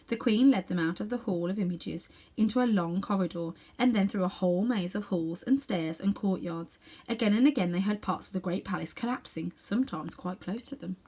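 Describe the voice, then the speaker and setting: Expressive woman reading a children's story. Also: medium pitch, slightly rough. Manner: expressive, dramatic. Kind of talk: children's read-aloud storytelling with asides.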